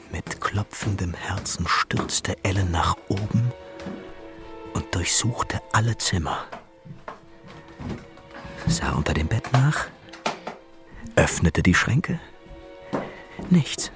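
Male storyteller, very low pitch, creaky voice, measured radio drama narration, faint music behind.

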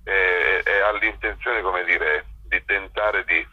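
A man speaking as a festival director in a spontaneous radio interview, with a rough, forceful voice. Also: deep voice, fairly even intonation, takes it slowly, muffled recording.

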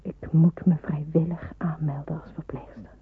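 An actress playing an anguished, dutiful and fearful young nurse in a Dutch radio play, hesitant and brooding, with a breathy, husky voice. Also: female voice, deep voice, steady tone, moderate pace, a little hiss or hum, lightly acted. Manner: hesitant, brooding, self-questioning. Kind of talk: Dutch radio play acting, inner monologue. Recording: muffled tinny old radio recording.

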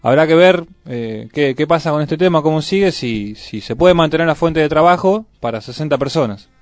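A man's voice: on the high side, lively intonation, moderate pace, dry recording. A man with a Buenos Aires Argentine accent giving casual local news commentary as a radio show commentator. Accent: Buenos Aires Argentine Spanish. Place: radio studio. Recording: music playing behind the voice. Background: music.

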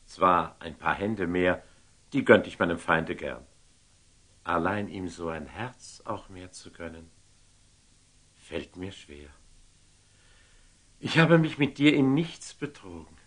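An actor playing a magnanimous, commanding sultan, with measured, regal delivery in a classic German radio drama. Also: male voice, low voice, expressive, a bit bright, exaggerated voice. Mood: magnanimous, tender. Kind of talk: theatrical German radio drama acting of classic stage verse.

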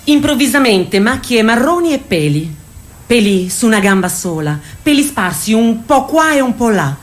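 A woman's voice: a little high, very animated, normal speed. An actress playing a wry, squeamish woman reacting and recalling her girlhood in a radio play. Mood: vivid recounting. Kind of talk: theatrical radio drama dialogue.

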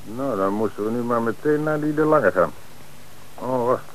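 An actor playing a calm, authoritative police commissioner in an old radio play, on a noisy old radio recording. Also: male voice, medium pitch, natural voice. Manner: measured, authoritative. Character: middle-aged male police commissioner, calm and dry. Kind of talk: 1960s radio play acting.

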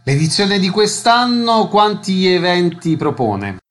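A man's voice: high voice, very animated, slow pace, a bit bright. A man presenting and asking questions as a radio interviewer, in a scripted announcing style. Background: music.

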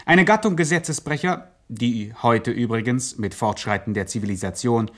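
An actor playing a solemn, pedantic chronicler who narrates a satirical gangster radio play deadpan. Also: male voice, mid-range voice, moderate pace, natural voice. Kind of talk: mock-scholarly scripted radio drama narration.

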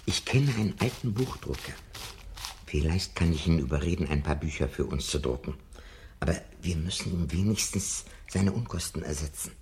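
An actor playing a timid, anxious old literature professor in a 1970s German radio play. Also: male voice, very deep voice, lively intonation, moderate pace, a little mellow, slight background noise. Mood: musing. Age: older.

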